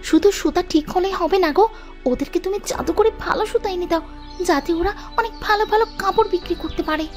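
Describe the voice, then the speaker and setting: An extremely high little mother mouse acted by a woman for a moral story cartoon. She is anxious and kindly, with an earnest, motherly way of pleading and scolding. Soft music plays behind her. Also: brisk. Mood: kindly, hopeful.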